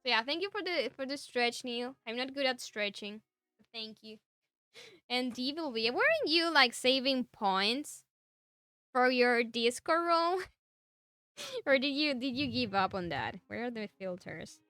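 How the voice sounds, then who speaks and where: A fairly high-pitched woman chats with her stream audience, giggling between phrases. Her voice is bright and very lively, rising and falling a lot. Soft music plays quietly behind her. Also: a little slow, dry recording. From Argentina. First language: Spanish. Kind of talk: casual chat with live chat viewers.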